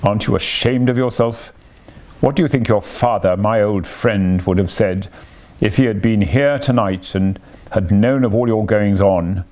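A man's voice: on the low side, a little mellow. A man voicing a stern, fatherly old badger with a dry voice, in an animal storybook. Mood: severe. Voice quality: thin, dry. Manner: slow, severe, commanding.

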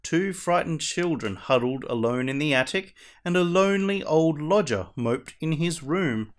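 A man playing a costumed superhero who reads a children's storybook aloud in a theatrical, deliberate way. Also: on the high side, lively intonation. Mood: animated storytelling. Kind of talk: animated picture-book read-aloud.

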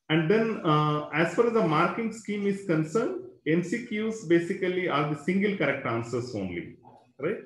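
A man's voice: a little high, echoey room, low-quality recording. A man, a school educator explaining exams in an online webinar, talking into a computer microphone with many fillers and a habitual "right?".

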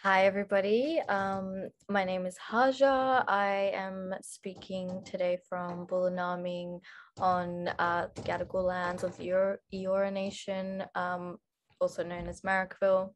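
A woman, introducing herself on an online panel in an unhurried way, with many pauses, over a video call from a room at home. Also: medium pitch, steady tone, a little hiss or hum. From Australia. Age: 20s. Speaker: panel speaker, writer and actress.

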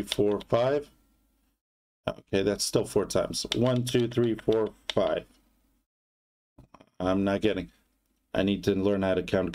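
A man giving a casual product demonstration as a reviewer, speaking haltingly in short phrases. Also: medium pitch, darkish tone.

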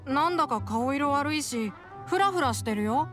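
A woman's voice: high voice, animated, moderate pace, fairly bright tone, faint music behind. A woman voicing an innocent, affectionate little boy in an anime game, earnest and childlike. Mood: innocent, concerned.

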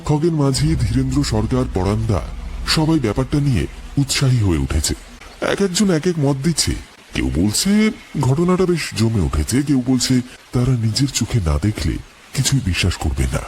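A man's voice: mid-range voice, big pitch swings, moderate pace, darkish tone, a little hiss or hum. A man reading a scripted horror story as a dramatic narrator. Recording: clear background music. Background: music.

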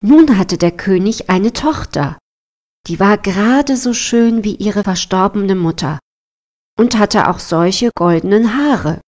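A woman narrating a scripted fairy tale as a storyteller, in a measured, deliberate manner. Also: mid-range voice, darkish tone.

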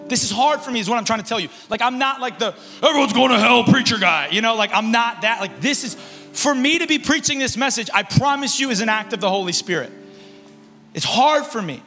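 A young man preaching to a youth conference on a large stage into a handheld mic, alternating shouted emphasis with low, soft asides. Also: lively intonation, brisk. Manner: paces and gestures across the stage. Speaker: youth pastor. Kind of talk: energetic sermon. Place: large stage in a concert hall. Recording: reverberant hall with faint music.

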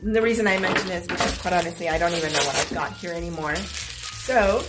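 A middle-aged woman filming a casual unboxing vlog at home, upbeat and chatty, her voice slightly hoarse as if she is losing it. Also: lowish voice, fast, over loud music, poor audio. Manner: chatty and upbeat, clears her throat now and then. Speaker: vlogger. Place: small home room with stacked boxes, indoors.

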